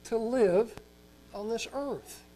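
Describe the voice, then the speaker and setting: An older man from Oklahoma preaching a sermon as a pastor, folksy and chatty, slowing down for emphasis. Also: mellow, slight background noise, low-quality recording. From Oklahoma, United States. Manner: folksy and chatty, with chuckles and fillers; slows and rises in pitch for emphasis.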